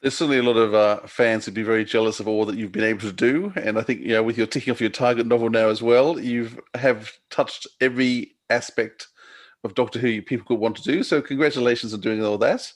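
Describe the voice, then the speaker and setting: Medium-pitched man, appreciative podcast interviewer. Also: steady tone, quick pace. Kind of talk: casual interview chat.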